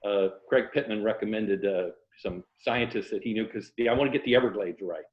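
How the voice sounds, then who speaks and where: A man's voice: fairly low pitch, a little fast. An American man speaking reflectively and anecdotally in a casual interview, with a self-deprecating way of talking about his writing. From Florida, USA. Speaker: interview guest, fiction writer and former journalist. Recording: echoey room, slightly dull lo-fi audio.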